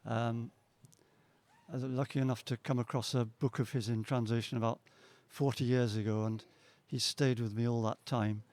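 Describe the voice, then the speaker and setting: A middle-aged or older man speaking haltingly and informally as he introduces readers at a poetry event. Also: mid-range voice, steady tone, darkish tone, no echo. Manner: hesitant, halting. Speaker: event host introducing poets. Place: outdoors at a gallery event.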